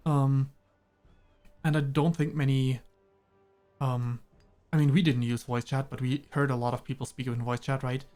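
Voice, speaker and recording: German man, relaxed rambling streamer. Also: mid-range voice. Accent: slight mix of British and American. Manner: rambling, hesitant, laughs often. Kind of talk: casual gameplay chat.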